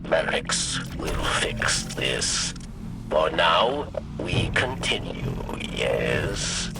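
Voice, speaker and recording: An actor playing a scheming alien prison keeper in a video game, speaking formally and haltingly of himself in the third person. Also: male voice, extremely low pitch, sing-song, creaky, music in the background, heavily acted. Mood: apologetic, uneasy. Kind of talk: video game cutscene acting.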